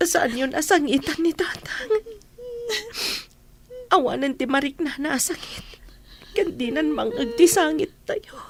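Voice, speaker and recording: A woman's voice: sits high, animated, measured pace, pauses often. A woman acting a burdened, stoic eldest daughter hiding her grief in an Ilocano radio drama. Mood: grieving, subdued.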